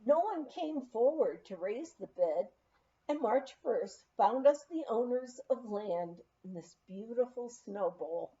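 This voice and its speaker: A woman reading a memoir aloud in an expressive, unhurried storytelling manner. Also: fairly high pitch, highs cut off. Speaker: audiobook reader.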